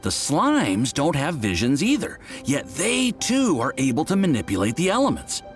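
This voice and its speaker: An actor playing an eager, deferential young research assistant in an anime game, earnest, excitable and polite. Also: male voice, a little high, normal speed. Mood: excited. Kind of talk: anime game character acting. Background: faint background music.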